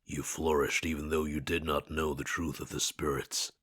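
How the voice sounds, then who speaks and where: A man voicing a calm ancient spirit in a borrowed body for a video game, speaking in a formal, measured, philosophical way. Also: no room sound, heavily acted. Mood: solemn, measured. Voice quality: very deep, husky. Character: calm ancient male spirit inhabiting a dead man's body.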